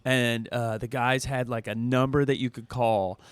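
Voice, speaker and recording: A man's voice: medium pitch, fairly even intonation, normal speed, smooth, dry recording. A man co-hosting a podcast in casual, rambling chat, full of fillers and jokes. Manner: rambling, filler-heavy, joking. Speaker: podcast co-host. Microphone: close studio mic.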